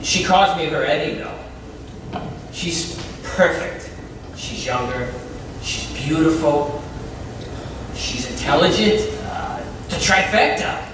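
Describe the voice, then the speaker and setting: A man acting on a community theatre stage as an earnest, excitable, grateful ex-convict, speaking emphatically with halting pauses. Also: a little high. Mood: smitten, hopeful. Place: indoor theatre stage, mic far off. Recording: distant, very echoey stage recording with clear noise.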